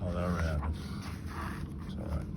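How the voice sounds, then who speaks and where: A man's voice: deep voice, little pitch movement, fast, gravelly, heavy background noise. A man muttering offhand, casual commentary, mumbling and sometimes swearing. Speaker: host of a homemade puppet show.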